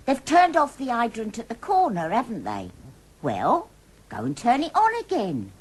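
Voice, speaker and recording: Casting: practical scold, husky and slightly high, with sweeping exasperated intonation. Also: female voice, wildly swooping pitch, normal speed, a little mellow, exaggerated voice. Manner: no-nonsense, exasperated.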